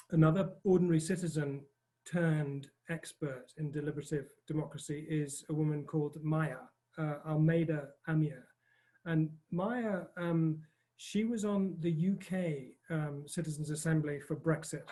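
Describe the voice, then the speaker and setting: A man from northern Scotland speaks in a posh British accent, his voice slightly high for a man yet warm and mellow. He talks on a panel in a halting, self-deprecating way, full of ums. He is speaking from outdoors in a field. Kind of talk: online panel talk.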